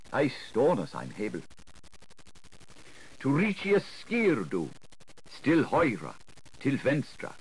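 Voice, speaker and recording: A man's voice: mid-range voice, husky. A man reading a literary audiobook in a theatrical, playful way, shifting between questioning and answering voices. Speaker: audiobook reader. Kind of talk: dramatic literary narration. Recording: scratchy, noisy 1980s audiobook recording.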